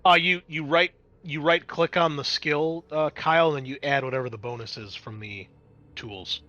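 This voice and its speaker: A man runs a tabletop roleplaying game as its game master. His voice is bright and clear-cut in tone, and he explains things in a conversational, unscripted manner. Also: fairly high pitch, normal speed, dull audio.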